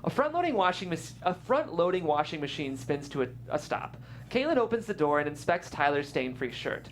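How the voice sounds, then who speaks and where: Amused, lively stage-direction reader. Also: medium pitch, fairly quick, a little hiss or hum. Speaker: narrator reading stage directions. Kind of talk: script table read.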